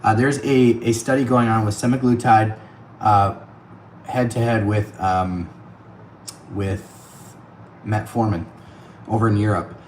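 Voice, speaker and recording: A man's voice: fairly low pitch, fairly even intonation, takes it slowly, pauses often, a little mellow, reverberant, a little hiss or hum, poor audio. Chatty man hosting a livestream. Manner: chatty, thanks viewers by name, laughs often. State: voice getting worn. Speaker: livestream host. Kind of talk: casual livestream chat with viewers. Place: on the road, streaming from an iPad. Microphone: tablet mic.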